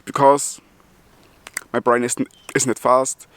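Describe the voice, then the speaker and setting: Slow-talking German man who stutters. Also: medium pitch, level tone, a bit bright, clean tone. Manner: stutters with repeated sounds and restarts; chuckles between phrases. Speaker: YouTuber. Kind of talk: casual vlog talk.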